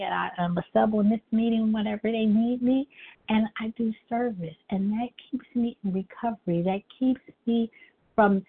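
A middle-aged woman with a high, girlish voice, telling her personal recovery story at a meeting in an earnest, halting way with many restarts. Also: breathy edge. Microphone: phone call. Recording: tinny phone line.

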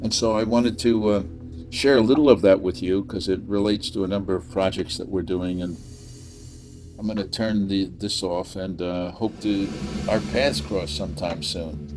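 Deep-voiced, halting older American man. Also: fairly even intonation, over music. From New Jersey, USA. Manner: halting, searching for words. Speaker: podcast host and sound designer. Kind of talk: rambling casual conversation.

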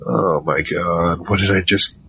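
A man's voice: sits low, steady tone, a little slow, fairly bright tone, a little hiss or hum. A man playing a wary team member who narrates an audio drama in a rambling, wry, conversational way. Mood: wry storytelling. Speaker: narrator and lead character.

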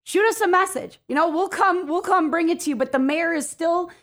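A woman's voice: very high voice, brisk, a bit bright. An American woman speaking as an interview guest, chatty and upbeat in a casual promotional style. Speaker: chamber of commerce president, interview guest.

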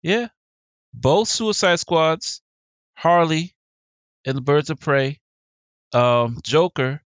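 A man's voice: a little high, takes it slowly, smooth, dry recording, clean studio sound. A man talking casually as a panelist in a group discussion. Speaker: podcast panelist. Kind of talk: casual chat.

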